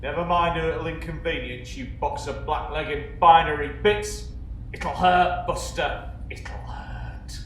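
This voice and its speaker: An amateur actor playing a muddled, demanding philosopher in a radio comedy, emphatic, indignant and pompous. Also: male voice, fairly high pitch, steady tone, slow, a bit bright. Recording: echoey room, faint noise.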